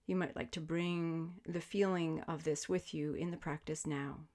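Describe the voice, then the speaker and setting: A woman guiding a sleep meditation as a yoga teacher, speaking in gentle, soothing, measured invitations. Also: low voice, little pitch movement. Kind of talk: guided sleep meditation, spoken instruction.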